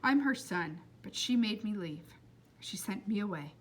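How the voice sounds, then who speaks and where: Woman reading as an earnest, wounded boy, very expressive. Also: high-pitched, unhurried. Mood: wounded, sorrowful. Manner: wordy, precise, formal phrasing. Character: earnest, tearful, formal-spoken boy with wounded pride.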